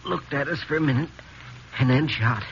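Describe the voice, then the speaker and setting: A wounded townsman in a Western radio play, short of breath and weak. His rustic speech comes in halting, bewildered gasps. The old recording is dull with a little noise. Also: medium pitch, fairly bright tone. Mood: pained, bewildered. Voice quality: breathy, full of air. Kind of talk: 1950s Western radio drama acting. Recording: muffled, noisy 1950s radio recording.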